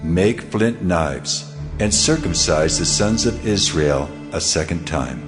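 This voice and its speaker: A man's voice: low voice, lively intonation, slow. An actor playing God in an audio Bible drama, majestic and authoritative as he gives solemn orders. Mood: solemn command. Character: God, solemn almighty male divine being giving orders. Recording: long hall reverb, music behind.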